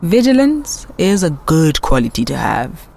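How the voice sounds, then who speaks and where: Audio drama role: woman acting stern secretive female operative, creaky voice, curt and commanding, noisy ambience behind. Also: deep voice, sing-song, a little slow, a little mellow, heavily acted. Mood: cool, commanding. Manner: curt, composed, dry.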